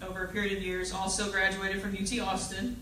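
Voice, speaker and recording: A middle-aged woman introduces herself as a candidate at a public forum, speaking in a halting, personable way. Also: mid-range voice, completely flat delivery, sharp tone. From Fayette County, Texas, United States. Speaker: political candidate. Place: public meeting hall, indoors. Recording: distant echoey room, faint noise.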